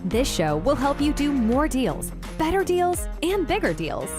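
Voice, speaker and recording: A woman reads a scripted show intro in a polished, emphatic way. Her voice sits high and moves widely in pitch. A loud music bed plays under her. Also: very animated, a little slow. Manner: polished, emphatic announcer read. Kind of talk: scripted promo narration.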